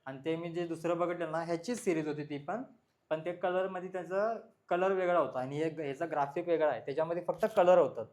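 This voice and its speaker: A man's voice: a little high, steady tone, quick pace, a little mellow. A man, speaking as a vlogger. He chats casually to viewers, often repeating himself as he explains what he is showing. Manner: informal, repetitive, explains while showing things. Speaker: vlogger, motorcycle channel host. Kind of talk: casual product showcase chat to viewers.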